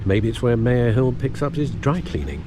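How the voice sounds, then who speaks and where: Guy doing wry old butler in a game, lowish n expressive, droll, noisy background. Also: normal speed, lightly acted. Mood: wry, teasing. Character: older male butler, wry, dry, fatherly and concerned. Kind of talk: video game voice acting.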